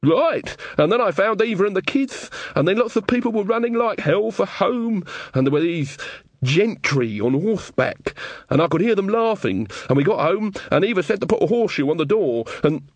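A man's voice: high-pitched, expressive, normal speed, no echo, voice pushed up. A man voicing a frightened, simple village man for a storybook, with hesitant, deferential, rustic speech. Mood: bewildered, nervous.

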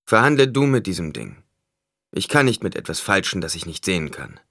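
A man's voice: low voice, sing-song, fairly quick, gravelly, fairly bright tone, no room sound, clean studio sound, full character voice. A man voicing a tense, defiant noble leader in an audiobook, guarded and commanding. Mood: resigned command. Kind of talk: audiobook character acting.

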